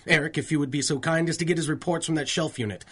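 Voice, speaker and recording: High-voiced, harried police commissioner. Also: male voice, fairly even intonation, quick pace, breathy edge. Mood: reluctant, proper. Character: male police commissioner, defensive, harried, proper official. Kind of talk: 1930s radio drama acting.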